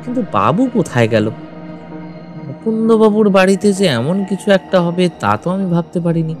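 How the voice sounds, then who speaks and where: High, jittery, frightened villager. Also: male voice, big pitch swings, normal speed, soft background music. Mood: bewildered, scared. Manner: jittery, panicky. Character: frightened village man trapped in haunted house. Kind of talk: Bengali horror audio drama acting.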